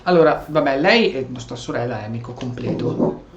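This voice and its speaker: A man's voice: mid-range voice, fast talker, highs cut off, a little hiss or hum. An Italian man giving casual, playful gameplay commentary with joking asides as a streamer. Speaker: gaming streamer, host. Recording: roomy, echoey room sound.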